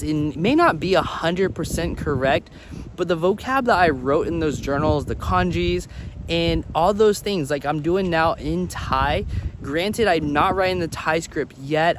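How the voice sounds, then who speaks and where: A man with a slightly high voice talks casually to his viewers, giving study advice like a vlogger. He repeats words to drive points home. There is faint outdoor traffic noise behind him. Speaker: language-learning vlogger.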